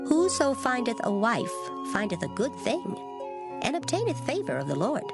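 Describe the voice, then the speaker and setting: Expressive woman reading scripture over loud music. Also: a little high, moderate pace. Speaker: scripture reader. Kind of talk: dramatized Bible reading. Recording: prominent guitar music behind voice. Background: plucked string music.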